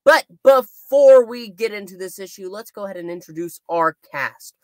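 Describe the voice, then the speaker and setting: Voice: mid-range voice, animated, normal speed, a little mellow, no room sound. A co-host presenting a live comic book reading in a showy, theatrical announcer style with sudden emphatic bursts. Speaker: co-host and reader of a comic book puppet show.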